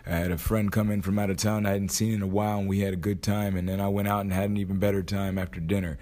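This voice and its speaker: A man hosting his own podcast, hoarse after a night out, talking casually and thinking aloud with frequent restarts. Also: low-pitched, little pitch movement, quick pace, mellow. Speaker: podcast host. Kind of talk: casual motivational monologue.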